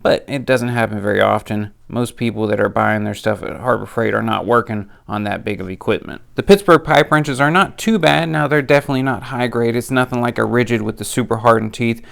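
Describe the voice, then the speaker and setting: A man talks through his nose, and a cold makes him sound stuffier still. His voice is gravelly but smooth, and he talks chatty and straight about which tool deals are worth it. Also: medium pitch, fairly quick, few pauses, a bit bright. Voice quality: nasal. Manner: chatty, practical, plain-spoken. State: has a cold. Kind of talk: casual product rundown.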